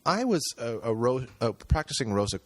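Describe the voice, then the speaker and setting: A man's voice: medium pitch, very animated, normal speed, no echo. A man talking as a guest on a podcast, thinking aloud with many fillers and restarts. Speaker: podcast guest, screenwriter and director. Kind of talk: casual interview talk.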